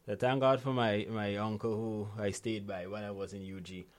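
A man's voice: on the low side, flat delivery, normal speed. A Guyanese man speaking as an interview guest and coach, explaining in a conversational, motivational way and repeating key phrases for emphasis.

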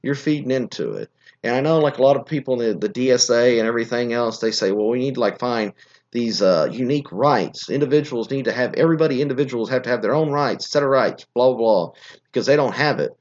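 A hoarse, scratchy-voiced man hosts a political podcast, holding forth in a rambling, opinionated monologue that keeps stopping and restarting. His pitch sits at an ordinary middle level. The recording sounds slightly muffled and lo-fi. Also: expressive, fast talker, darkish tone. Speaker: podcast host.

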